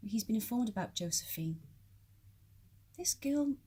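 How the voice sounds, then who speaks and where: A woman plays a loyal, protective godmother in an audio drama. She speaks slowly and deliberately, through loud noise on an amateur microphone. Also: fairly high pitch, animated. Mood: reassuring. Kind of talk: scripted audio drama acting.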